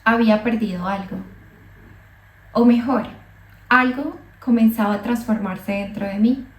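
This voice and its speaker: A young woman from Colombia, a psychologist, telling her own migration story slowly and thoughtfully, with hesitant restarts. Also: fairly high pitch, recorded from a distance. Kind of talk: reflective personal monologue. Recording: echoey room, voice a bit off mic.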